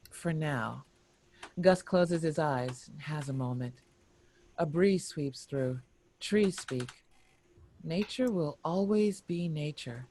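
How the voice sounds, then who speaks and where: A narrator reading screenplay action and scene headings in a deliberate, expressive storytelling manner. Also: mid-range voice. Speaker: narrator reading stage directions.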